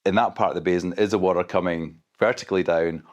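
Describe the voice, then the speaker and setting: The low-voiced Scottish scientist guest on a podcast, carefully talking a listener through a complicated subject. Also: male voice, steady tone, fast. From Scotland. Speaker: expert guest, hydrogeologist. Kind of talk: explanatory interview conversation.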